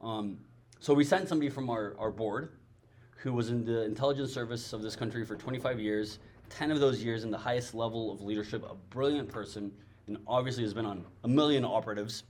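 A man's voice: mid-range voice, brisk, a little mellow. A man from Mexico with a Mexican accent, a Spanish speaker giving an earnest, emphatic sermon-style talk about mission work. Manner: earnest, emphatic, repeats phrases for weight.

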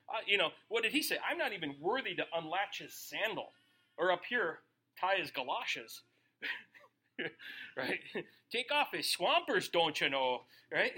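Man, pastor, folksy joking sermon, bright voice. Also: high voice. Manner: casual, joking, asks the audience questions.

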